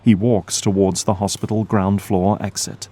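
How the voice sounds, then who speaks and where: A man's voice: fairly low pitch, flat delivery, moderate pace, dark tone. A man narrating an audio drama from a script in the role of storyteller.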